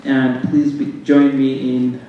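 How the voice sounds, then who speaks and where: A man with a Ugandan accent giving a halting welcome speech as director of a music ensemble, with frequent pauses and repeated words. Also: mid-range voice, monotone, lo-fi sound. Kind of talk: welcome speech to a concert audience. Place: concert stage in a hall. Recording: very echoey hall, distant mic, faint noise.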